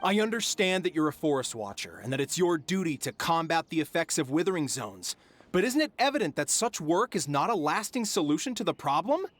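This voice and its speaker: A man's voice: fairly high pitch, sing-song, fairly quick. A man playing an indignant, pompous young scholar in a video game, pressing his point formally.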